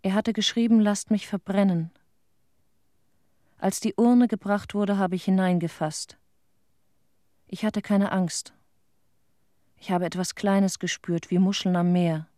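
An actress playing a haunted, tender middle-aged widow in a radio play, speaking in halting short phrases of her dead husband. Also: mid-range voice, steady tone, dark tone, no echo, clean studio sound, lightly acted. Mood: haunted grief. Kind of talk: intimate radio play monologue.

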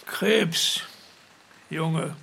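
Creaky, high-pitched sly old man. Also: bright, forceful tone, heavily acted. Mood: calm, knowing. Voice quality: gravelly, raspy. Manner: slow, deliberate, commanding. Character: frail, sly, dying old man, calm and bossy. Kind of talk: radio play acting.

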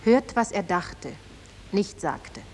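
A man's voice: high-pitched, sing-song, slow, heavily acted. Solemn male storyteller, declaimed, long reverb, faint hiss, old radio drama. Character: solemn ballad singer narrating the tale.

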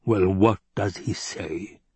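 A man voicing a fat, scheming baron, pompous and menacing, with a rumbling bass voice in an audiobook story. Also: a little mellow, husky, no room sound, exaggerated voice. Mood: impatient. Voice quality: basso, rumbling. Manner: slow, pompous, savoring delivery.

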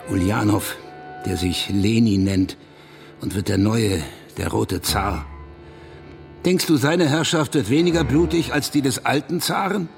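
A man's voice: mid-range voice, measured pace, creaky. An actor playing a bitter, foreboding reactionary man in a radio drama, speaking in accusatory rhetorical questions. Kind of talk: dramatic radio play monologue. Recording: studio radio play with loud music.

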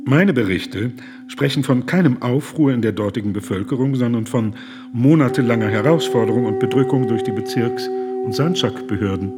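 Man playing indignant humanitarian, medium-pitched voice, formal, deliberate, morally urgent, radio drama over music. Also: close to an everyday voice. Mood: firm rebuttal. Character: earnest, indignant German humanitarian pleading against deportations. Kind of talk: German radio play acting.

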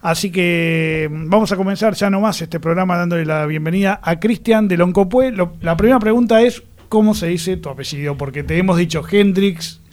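A man's voice: sits high, brisk, few pauses, darkish tone. A man hosting a radio program, chatty and informal as he welcomes and questions his guest. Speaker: radio host. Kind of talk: radio interview. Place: radio studio.

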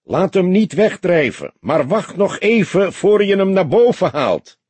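A man's voice: medium pitch, sing-song, moderate pace, darkish tone, slightly rough, no room sound. A man voicing a patient, mocking, authoritative grey-haired old police detective in an audiobook. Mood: calling out. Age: older.

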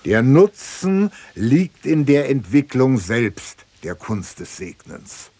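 An actor playing a pompous, greedy old priest in a classical comedy radio play, declaiming with grand rhetoric. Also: male voice, mid-range voice, big pitch swings, takes it slowly, warm tone, husky, full character voice. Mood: sly, lecturing. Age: older.

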